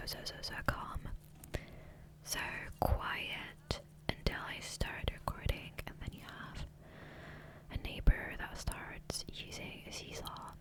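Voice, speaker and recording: Whispering woman, soft ASMR ramble. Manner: rambling, hesitant, self-interrupting. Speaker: ASMR gaming video creator. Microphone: close, sensitive USB microphone.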